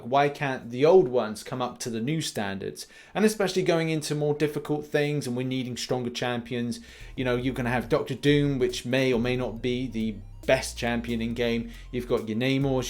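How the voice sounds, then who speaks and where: Guy doing game news commentary, opinionated and chatty, mid pitch, music playing behind him. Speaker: gaming news host. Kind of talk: gaming news commentary, part read, part off-the-cuff. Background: background music.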